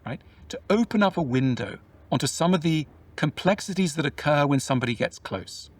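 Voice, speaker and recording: A man with a somewhat high voice talks in a measured, explanatory way, often turning questions back on the listener. His voice sits a little away from the microphone, so it sounds slightly distant. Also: expressive, slightly rough. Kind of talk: reflective conversational talk.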